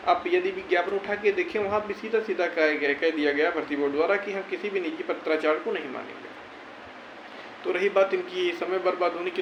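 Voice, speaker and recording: A man giving an informal explanatory update to viewers, reassuring and advising them with plenty of repetition. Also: high voice. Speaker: YouTuber giving recruitment court-case updates. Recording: roomy, dull audio with faint noise.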